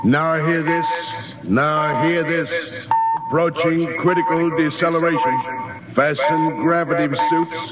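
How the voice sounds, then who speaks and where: Actor as slow, formal spaceship crewman. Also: on the high side, fairly even intonation, close to an everyday voice. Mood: dutiful, procedural. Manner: formal, clipped, procedural announcing. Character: dutiful male spaceship crewman calling out readings. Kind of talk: 1950s radio drama acting. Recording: muffled old radio, loud engine-like noise.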